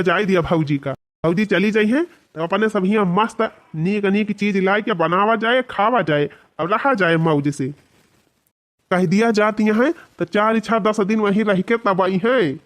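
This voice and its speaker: A man's voice: sits high, a bit bright. A man voicing a carefree, scheming village buddy in a cartoon comedy, chatty and persuasive, with an Awadhi accent. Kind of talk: cartoon comedy dialogue in rustic dialect.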